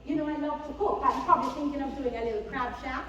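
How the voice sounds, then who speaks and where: A woman's voice: sits high, fairly even intonation, crowd noise, close to an everyday voice. A woman on stage playing a haughty, boastful Jamaican lady with airs, speaking in a grand, dramatic manner with a Jamaican accent. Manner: grand, dramatic, drawn-out emphasis. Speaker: stage actor in a pantomime. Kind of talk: theatrical comic stage dialogue. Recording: muffled, very echoey theatre from far off.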